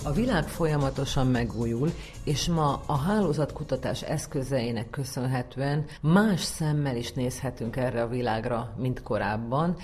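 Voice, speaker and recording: Low, mellow-voiced woman explaining. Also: soft background music. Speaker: social psychologist, interviewed expert. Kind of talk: explanatory expert talk.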